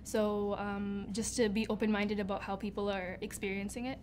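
A woman's voice: medium pitch, flat delivery, normal speed, a little mellow, a little hiss or hum. A young woman speaking as a student in an earnest documentary interview. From Canada, born in Sudan. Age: young adult. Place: indoors by a window. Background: faint music.

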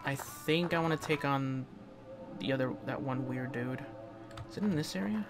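A man casually commenting on his own gameplay, rambling, chatting to the enemies and breaking into sudden excited outbursts. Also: mid-range voice, normal speed. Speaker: let's play gamer. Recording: loud game music behind.

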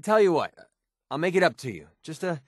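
Wry American wasteland mercenary, dry studio sound. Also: male voice, on the high side, sing-song, brisk, no echo. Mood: relaxed, wry. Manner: wry, grumbling, casual American slang. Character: young male wasteland mercenary companion, cocky, sarcastic, wisecracking. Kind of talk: video game character dialogue.

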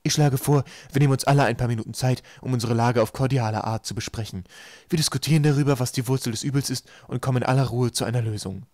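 A man playing a manipulative island leader in a storybook, measured, persuasive and condescending. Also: mid-range voice, a little mellow, no room sound, natural voice. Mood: soothing. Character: smooth-talking manipulative island leader, calmly hostile man.

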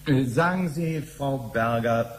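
An actor playing a brisk male interrogator in a 1970s radio play, questioning and a little impatient. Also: mid-range voice, slight background noise, close to an everyday voice. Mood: probing.

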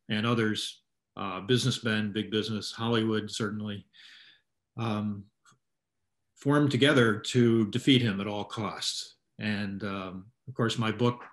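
An older man with a fairly low voice speaks as an interviewed author. His delivery is halting, with phrases broken up as he explains. The sound is roomy and echoey, like a video call from home. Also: a bit bright. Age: 60s or older. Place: small room at home, indoors.

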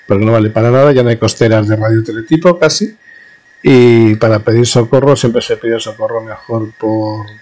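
A man's voice: mid-range voice, a little mellow, low-quality recording. A man with a mid-range voice talks through a practical technical demonstration. His delivery is informal and explanatory, like an instructor at work. He often halts and rephrases himself as he goes. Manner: halting, self-correcting, explanatory. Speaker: radio communications instructor.

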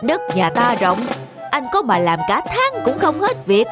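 A woman voicing a bossy, wealthy male landowner in a cartoon folk tale, brisk and boastful. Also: on the high side, huge pitch swings. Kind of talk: cartoon dubbing. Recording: music under the dialogue.

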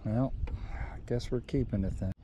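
A man's voice: medium pitch, normal speed. A man fishing from a small boat on a lake, making dry laid-back remarks about his catches. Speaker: channel host, angler. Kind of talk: casual chat while fishing. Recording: outdoor wind and water noise.

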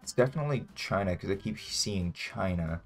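A young man chatting casually as a guest player, thinking aloud in a low-key way as he plays a game. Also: expressive, brisk. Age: young adult. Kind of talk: casual chat while playing a game. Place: indoor room, sharing a webcam frame with a friend. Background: music.

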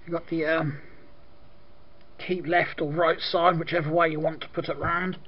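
Croaky British man reviewing toys. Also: on the high side, little pitch movement, moderate pace, dull audio. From Britain. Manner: chatty, rambling with frequent ums and throat clearing. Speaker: toy reviewer. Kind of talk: casual product review. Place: indoor room at a desk with toy display.

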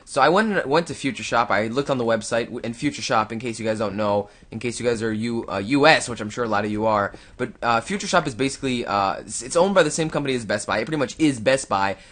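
A man's voice: medium pitch, fast, hardly any pauses, a bit bright. A young man giving casual, rambling gameplay commentary, talking to himself and his viewers close to the mic. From Canada. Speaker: gaming YouTuber. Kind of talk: casual let's-play commentary.

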